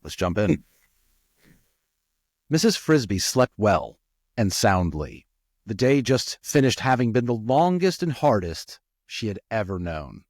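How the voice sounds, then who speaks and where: A man's voice: fairly low pitch, sing-song, slow, a little mellow, no echo, clean studio sound. A man reading a storybook aloud as narrator, slipping into chatty, informal asides. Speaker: storyteller and audiobook reader.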